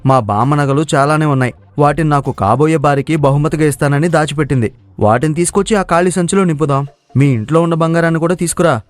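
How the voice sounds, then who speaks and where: A man's voice: fairly high pitch, dry recording, close to an everyday voice. A man voicing an easygoing young villager in a cartoon moral story, questioning and sceptical in manner. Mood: scheming. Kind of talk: dramatised moral story dialogue.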